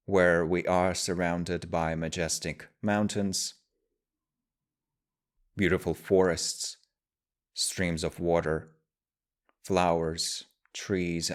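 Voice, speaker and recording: A man guiding a meditation as a teacher, speaking in measured, deliberate phrases with pauses. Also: low-pitched. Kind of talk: guided meditation, slow spoken instruction.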